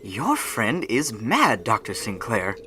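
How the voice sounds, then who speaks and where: An actor playing an anxious local airstrip worker in an old-time radio adventure. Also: male voice, fairly low pitch, wildly swooping pitch, slow, pushed and bright, slight background noise, exaggerated voice. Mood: frightened.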